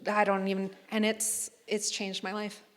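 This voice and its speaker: A woman chatting on a convention panel, telling lively stories and putting on playful voices. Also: medium pitch, normal speed. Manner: animated storyteller, playful voices. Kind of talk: casual panel chat. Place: large hall on stage. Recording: reverberant hall sound.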